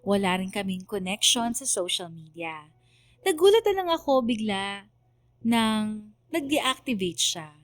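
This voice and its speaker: A woman's voice: mid-range voice, very animated, measured pace, no room sound. A woman with a Filipino accent narrating a scripted radio story in an earnest, sentimental way.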